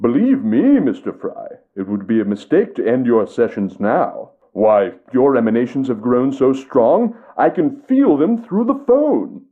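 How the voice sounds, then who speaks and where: A showy, theatrical psychic, drawing out slow words with big swoops in pitch. The sound is narrow and tinny, like a phone line or a voice through a wall. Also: male voice, big pitch swings. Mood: smooth. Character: theatrical male psychic fussing at his cat. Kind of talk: video game voice acting.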